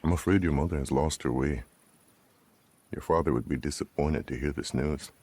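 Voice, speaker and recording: Very deep, solemn older uncle. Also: male voice, darkish tone. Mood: grave, disapproving. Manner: measured, solemn, formal. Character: dignified, grave Lakota uncle, an older band leader. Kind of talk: video game cutscene acting.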